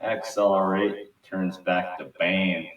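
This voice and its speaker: A man with a deep voice narrates a script in an amateur table-read style. He sounds set back from the mic in an echoey room with faint noise. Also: fairly even intonation, slow pace, far from the mic, lo-fi sound.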